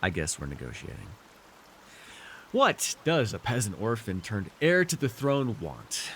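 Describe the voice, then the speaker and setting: A man's voice: on the low side, wildly swooping pitch, measured pace, fairly bright tone, a little hiss or hum, full character voice. A man playing an arrogant, seductive fantasy warlord in an intimate roleplay, teasing and theatrical as he speaks to one listener. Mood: wary, haughty. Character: arrogant, seductive male fantasy villain warlord, dream-wielding conqueror. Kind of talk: immersive ASMR fantasy roleplay acting.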